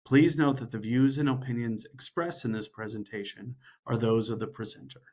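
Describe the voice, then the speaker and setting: A man's voice: medium pitch, fairly even intonation, moderate pace. A man hosting a webinar, reading a formal, scripted introduction of the speaker. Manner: formal, scripted, quieter than usual. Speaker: webinar host.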